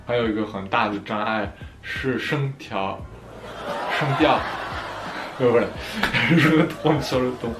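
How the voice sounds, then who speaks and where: Playful young French vlogger, echoey room. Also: male voice, mid-range voice, slow, background noise. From France. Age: young adult. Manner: playful, jokey, laughs at himself. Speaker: vlogger answering viewer questions. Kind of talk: casual Q&A vlog, switching between French and Chinese. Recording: echoey room with soft background music.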